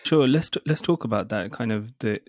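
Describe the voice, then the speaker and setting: A man's voice: fairly low pitch, expressive, a little fast, no echo, clean studio sound. A man co-hosting a podcast, asking the guest probing questions in a conversational way. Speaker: podcast co-host. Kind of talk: casual interview questions.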